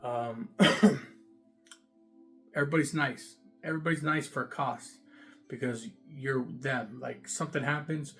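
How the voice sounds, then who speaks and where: A man's voice: steady tone, slow, fairly bright tone, faint music behind. Casual man telling jail stories. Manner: loose and repetitive, many fillers, emphatic "boom". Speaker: vlogger recounting jail time. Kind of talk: casual first-person storytelling to camera.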